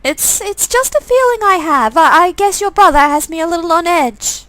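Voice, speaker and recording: Woman acting out a high-voiced, fretful, caring mum. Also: lively intonation, moderate pace, a bit bright, no echo. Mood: worried. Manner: fretful, hesitant. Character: worried, affectionate mother on a phone call. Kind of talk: acted visual novel dialogue.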